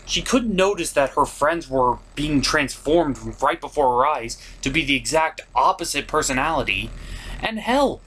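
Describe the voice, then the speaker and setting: A man hosts a review with theatrical, exasperated delivery. His pitch swings widely as he builds each complaint in opinionated commentary. Some background noise sits under the voice. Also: lively intonation, moderate pace, fairly bright tone, low-quality recording. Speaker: host of a cartoon review show.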